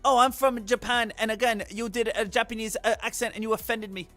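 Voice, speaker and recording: A man putting on the voice of a touchy, complaining viewer in a high, mocking whine. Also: steady tone, fairly quick, sharp tone, faint music behind. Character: whiny offended viewer, adult, touchy and complaining.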